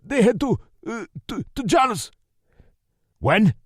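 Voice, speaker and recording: A man's voice: high-pitched, sing-song, slow, husky, dry recording, studio quality, pitched above the performer's own voice, full character voice. A man voicing a terrified wounded male cartel prisoner who pleads in broken English with a Spanish accent, stammering and screaming. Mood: panicked. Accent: Spanish-accented English (put on).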